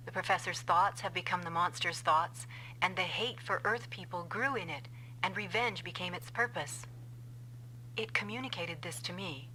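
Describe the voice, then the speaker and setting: An actress playing a vengeful female scientist in an anime-style game dub, explaining coldly and deliberately. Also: fairly low pitch, bright, forceful tone, slight background noise, poor audio. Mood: vengeful resolve. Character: vengeful female scientist confessing a revenge plot.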